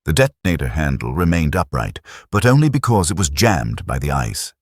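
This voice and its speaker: A man narrating a science fiction audiobook in a steady, dramatic storytelling manner, close to a studio mic. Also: rumbling low, moderate pace, dry recording. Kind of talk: scripted narration.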